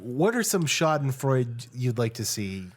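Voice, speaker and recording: A man's voice: mid-range voice, sing-song, unhurried, a little hiss or hum. A man co-hosting a podcast in casual chat, mostly giving short agreeing replies in a laid-back way. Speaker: podcast co-host.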